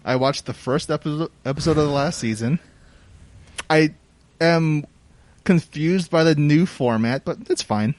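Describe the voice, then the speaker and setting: A man's voice: on the high side, a little slow, a little mellow, no room sound. A man co-hosting a podcast with casual, joking banter. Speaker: podcast co-host.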